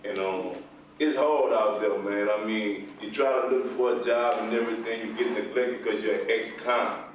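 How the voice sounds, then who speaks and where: A man's voice: on the low side, steady tone, normal speed. A man giving frank, informal testimony to a group in colloquial speech. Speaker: program participant, ex-convict. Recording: very echoey room, distant, faint noise.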